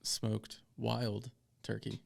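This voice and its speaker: A man's voice: on the low side, monotone, very slow, creaky. A man co-hosting a casual podcast, telling easygoing stories with frequent chuckles. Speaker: podcast co-host. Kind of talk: casual chat.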